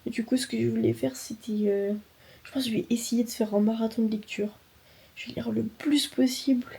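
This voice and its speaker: A young woman vlogging casually while sick with a cold and sore throat, speaking softly and sometimes dropping to a whisper. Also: fairly high pitch, steady tone, brisk, vocal fry, recorded from a distance. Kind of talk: casual vlog talk to camera.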